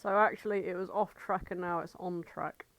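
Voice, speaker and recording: A woman's voice: on the low side, fairly even intonation, normal speed, a bit bright, no echo. A British woman co-hosting a podcast in casual comedic chat, blunt and sweary. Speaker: podcast co-host.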